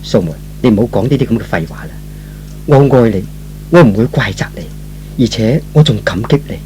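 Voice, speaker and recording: Guy doing a tender proud young man in love, radio drama style, lively pitch, a bit of music behind. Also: fairly quick, close to an everyday voice. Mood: reassuring. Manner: earnest, sentimental. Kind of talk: old Cantonese radio melodrama acting.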